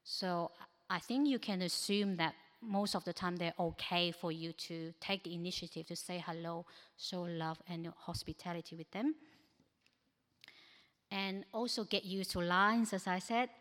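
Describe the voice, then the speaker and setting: A woman's voice: lowish voice, steady tone, a little slow, a bit bright. A woman whose first language is not English gives a practical, advice-giving presentation with slides at a church ministry training, with frequent hesitations. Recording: echoey hall with long reverb.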